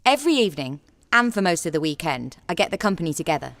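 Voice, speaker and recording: A woman's voice: lowish voice, big pitch swings, fast, no room sound. An actress playing a frank, self-assured young theatre woman with a dry, matter-of-fact manner in a radio play. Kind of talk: radio play acting and narration.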